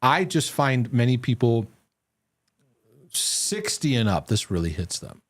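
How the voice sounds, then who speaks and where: A man's voice: medium pitch, big pitch swings, measured pace, dry recording. A man hosting a live question-and-answer broadcast, giving earnest, reassuring faith-based teaching. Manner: earnest, reassuring, lots of restarts. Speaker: host.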